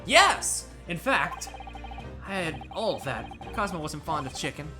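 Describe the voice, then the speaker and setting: A woman's voice: deep voice, very animated, measured pace. Woman doing a flustered young lawyer. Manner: flustered, exclaiming. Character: young male defence lawyer, nervous, easily startled. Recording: game music playing underneath.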